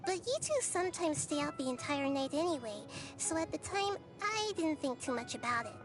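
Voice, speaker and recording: A woman voicing a gentle, thoughtful young girl goddess in a video game. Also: high-pitched, expressive, brisk. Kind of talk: game dialogue.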